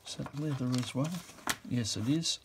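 A man's voice: medium pitch, moderate pace, noisy background. A man describing auction items in casual, off-the-cuff commentary, musing with fillers and self-corrections, recorded indoors at a table.

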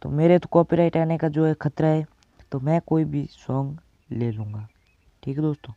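Young male tutorial host, teens, from India. Informal step-by-step explaining, frequent check-ins, muffled low-grade recording. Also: fairly even intonation, moderate pace, dark tone, dry recording. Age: teenager. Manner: addresses viewers as friends, checks in often. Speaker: tutorial presenter. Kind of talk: casual step-by-step video editing tutorial.

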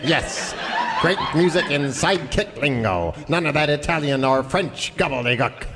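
A man's voice: on the high side, animated, normal speed, fairly bright tone. An actor playing a folksy, grandiose cowboy record salesman in a parody radio commercial. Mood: eager. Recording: live hall, faint audience.

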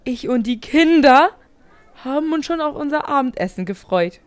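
A woman's voice: high-pitched, lively intonation, moderate pace, no room sound. A woman voicing a grieving, indignant widowed spruce tree testifying in a comedy courtroom audio play. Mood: wistful.

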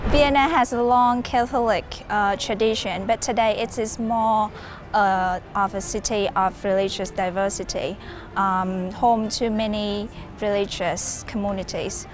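A woman's voice: mid-range voice, fairly even intonation, unhurried, a little hiss or hum. A young woman from Vietnam, a master's student, speaking carefully with some hesitation.

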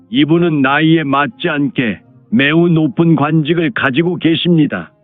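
남자가 연기하는 높은 톤의 아부하는 무협 가주. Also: male voice, a little high, close to an everyday voice. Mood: deferential. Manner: deferential, formal, explanatory. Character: hot-blooded clan lord, fawning toward his superiors. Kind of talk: Korean martial-arts audio drama acting. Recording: phone-like narrow sound, music behind.

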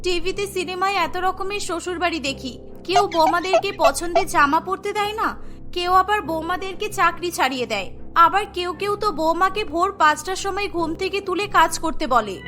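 A woman's voice: extremely high pitch, fairly quick, pushed and bright, faint music behind. A woman voicing an anxious, pampered young bride-to-be in a cartoon story. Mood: worried.

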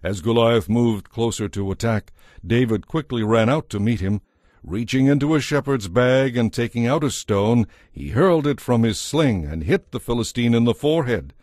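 A man narrating a dramatized scripture story in a measured storytelling manner. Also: mid-range voice, lively intonation, a little mellow, dry recording, clean studio sound.

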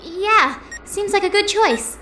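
A woman playing a bubbly, scatterbrained young rookie police officer in an audio drama, rambling and excitable. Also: very high-pitched, slow pace, a little hiss or hum, exaggerated voice. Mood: sheepish, unsure. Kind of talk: cartoonish audio drama acting.

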